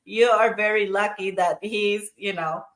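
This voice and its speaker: Slow, bright-voiced Filipino woman. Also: medium pitch, fairly even intonation. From Philippines. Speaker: host, online business owner. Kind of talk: casual interview chat.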